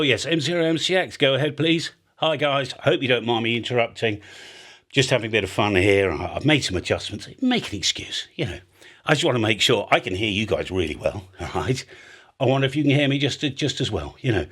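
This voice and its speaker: A British man hosting a casual explainer in a chatty, animated way, slipping into acted-out example exchanges. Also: mid-range voice. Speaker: ham radio video host.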